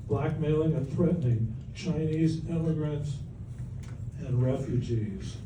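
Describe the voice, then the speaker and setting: A man giving a firm, earnest public comment at a city council meeting, urging the council to reject a proposal. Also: medium pitch. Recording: echoey chamber, far mic, noisy.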